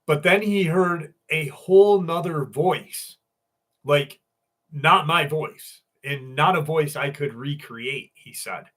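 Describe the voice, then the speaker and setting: A man's voice: on the high side, slow pace, a bit bright. A man hosting a live stream, telling stories in a loose, profane way and greeting viewers by name. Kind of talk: casual live stream chat and storytelling.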